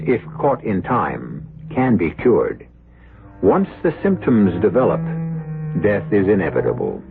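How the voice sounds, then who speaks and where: Radio mystery host, American man, theatrical scripted narration, very animated intonation, narrow vintage broadcast sound over music. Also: fairly low pitch. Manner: measured, dramatic pauses. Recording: tinny old radio, loud music behind.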